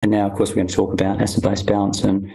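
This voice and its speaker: A man with a husky voice hosting a medical podcast, explaining and talking in an informed way. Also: lowish voice, monotone, rapid-fire, mellow, slight background noise. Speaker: podcast host. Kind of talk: scripted medical narration and interview talk.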